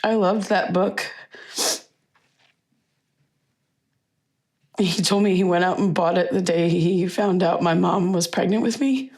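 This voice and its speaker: An actress playing a young grieving daughter in a naturalistic audio drama, talking in a halting, conversational, wistful way. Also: female voice, lowish voice, darkish tone, close to an everyday voice. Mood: wistful, sad. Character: grieving daughter reminiscing fondly about her late father.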